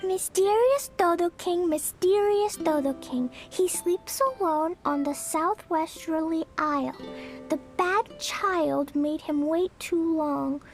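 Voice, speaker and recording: A woman voicing an excitable, innocent little girl adventurer in a video game. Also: very high voice, takes it slowly, full character voice. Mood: reciting, mysterious. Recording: music behind the voice.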